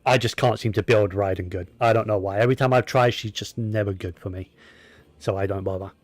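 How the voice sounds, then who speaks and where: A man's voice: lowish voice, steady tone, a little fast, no room sound, faint music behind. A man giving casual gameplay commentary, rambling and opinionated, often talking to himself as he plays. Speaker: gaming streamer.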